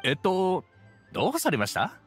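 High-voiced eager young merchant. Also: male voice, wildly swooping pitch, moderate pace, soft background music. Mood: polite, flustered. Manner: courteous sales patter. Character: polite eager young male foreign toy merchant. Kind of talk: video game voice acting.

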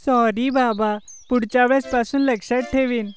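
Polite, even-toned little boy played by a woman. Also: a little high, normal speed, slight background noise, natural voice. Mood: apologetic. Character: polite, apologetic young boy.

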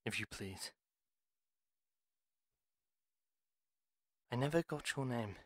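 A man's voice: fairly low pitch, a bit bright, dry recording, studio quality. A man playing a bitter, curt pharmacist healer in a fantasy audio roleplay, speaking in stern, formal phrases. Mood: grave.

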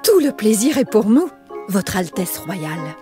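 A woman playing a kindly, upbeat guide and mother figure in a children's game, over loud music. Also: mid-range voice, sing-song, unhurried. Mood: polite, cheerful.

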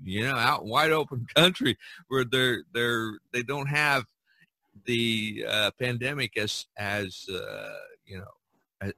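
A man hosting a casual podcast conversation, phrasing things deliberately with pauses. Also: medium pitch, pushed and bright, dry recording. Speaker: podcast host.

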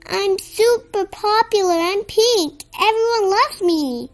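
A child reading a children's picture book aloud in an expressive, somewhat halting way, with stumbles. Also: very high-pitched. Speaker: storybook reader.

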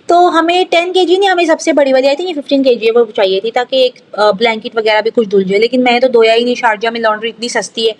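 Higher-pitched woman chatting casually. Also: a little hiss or hum. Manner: rambling, conversational, with repeated filler phrases. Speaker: home vlogger. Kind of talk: casual chatty vlog voice-over.

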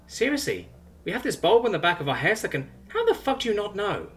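A man's voice: very animated, bright, forceful tone, faint music behind. A man doing a cocky, sarcastic young woman. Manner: sarcastic, higher, quick.

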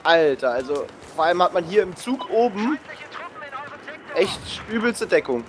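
Young man, lively high-pitched gaming commentary. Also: normal speed, noisy background. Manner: slangy, mixing in English exclamations. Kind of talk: casual gameplay commentary with a friend. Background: shooter game gunfire and ambience.

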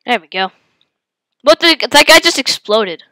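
A woman with a head cold hosting her gaming channel, giving excitable live commentary and yelping at the game as she plays. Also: high-pitched, a little slow, no echo, clean studio sound. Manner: excitable, yelps and exclaims at the game.

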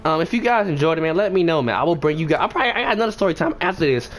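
A man's voice: on the high side, expressive, racing. Profane, rambling young man over background noise. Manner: profane, slangy, rambling, emphatic when indignant. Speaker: gaming video creator telling a story. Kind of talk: casual storytime over gameplay. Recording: clear background noise and ambience.

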